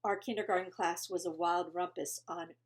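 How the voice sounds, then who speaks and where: A woman's voice: fairly low pitch, fairly even intonation, moderate pace. A woman teacher reading a children's storybook aloud, expressively, with chatty asides to her young listeners.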